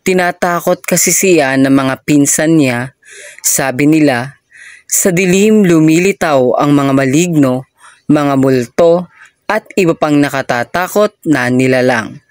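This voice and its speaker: A person reading a children's story aloud in a measured, deliberate storytelling manner. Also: mid-range voice, no echo, studio quality.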